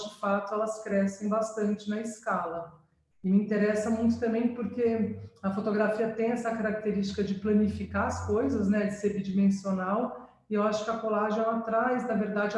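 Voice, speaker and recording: A woman's voice: medium pitch, fairly even intonation, moderate pace, darkish tone. Thoughtful woman on an echoey video call. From France, raised in São Paulo. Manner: thoughtful, searching for words, frequent tag questions. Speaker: visual artist presenting her work. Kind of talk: informal talk over a video call. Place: her art studio. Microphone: video call microphone.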